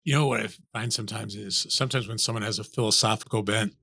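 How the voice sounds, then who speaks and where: Podcast host guy, smooth upbeat intro, dry close sound. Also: fairly low pitch, fairly even intonation, quick pace, sharp tone, no room sound. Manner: polished, enthusiastic introductions.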